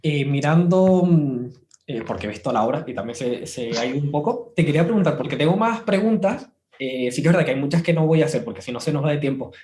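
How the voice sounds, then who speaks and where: A man's voice: a little high, expressive, fast talker, mellow, slight background noise. A man interviewing casually, politely and tentatively, circling his way toward each question. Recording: roomy, echoing sound.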